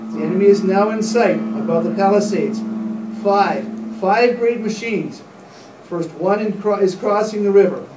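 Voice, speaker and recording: A man's voice: high-pitched, normal speed, noisy background, natural voice. A man acting as a grave radio announcer in a live radio play, reporting solemnly and dramatically as an eyewitness. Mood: awed, doomed. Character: grave radio announcer witnessing an invasion from a rooftop. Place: hotel meeting room, panel table. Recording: distant camera mic in echoey room.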